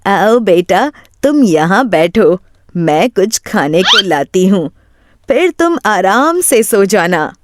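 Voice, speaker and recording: A woman storyteller plays a scheming female swindler in a moral tale, motherly on the surface and sly underneath. She coaxes slowly at a medium pitch, with clear noise behind. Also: big pitch swings. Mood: coaxing. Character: sly thieving woman feigning motherly kindness, secretly murderous.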